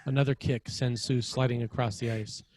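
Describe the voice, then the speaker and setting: A man's voice: medium pitch, little pitch movement, normal speed, a little mellow, slight background noise. A man reading screenplay action lines as narrator at a table read. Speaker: screenwriter narrating stage directions.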